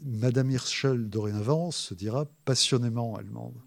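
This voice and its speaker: A man, an author, explaining his subject in a hesitant way, with many filler pauses and restarts. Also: medium pitch, vocal fry, no echo. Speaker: author discussing his book. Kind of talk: thoughtful spoken explanation.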